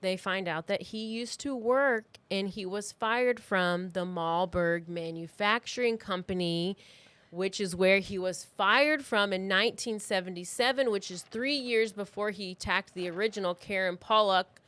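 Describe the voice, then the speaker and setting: A woman's voice: mid-range voice, a little slow, a bit bright, clean tone, dry recording. A woman co-hosting a true crime podcast, telling the story in a casual, loosely scripted way with wry asides.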